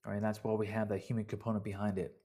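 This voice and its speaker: A man's voice: fairly low pitch, little pitch movement, rapid-fire, warm tone. A man from Vancouver with a Canadian accent, a fitness coach talking casually to his members in a rambling, conversational way and often circling back to repeat himself. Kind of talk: casual explainer talk to members.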